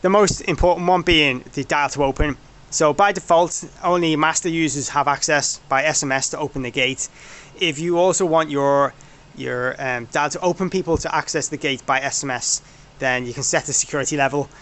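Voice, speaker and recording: A man's voice: on the high side, normal speed, few pauses, a bit bright. A man giving an informal technical walkthrough as a product developer, rambling and correcting himself as he goes. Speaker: product developer presenting a demo.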